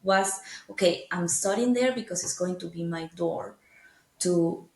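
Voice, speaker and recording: A woman's voice: lowish voice, a little slow, darkish tone. Venezuelan woman speaking English in an echoey room. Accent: Venezuelan Spanish. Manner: thoughtful, frequent fillers and restarts, laughs easily. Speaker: guest. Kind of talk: casual interview talk.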